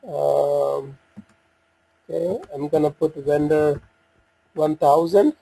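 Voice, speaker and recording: A man giving an unscripted software walkthrough, talking through each step in a halting way as he thinks aloud. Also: on the high side, gravelly, breathy edge, poor audio. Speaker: software demo presenter.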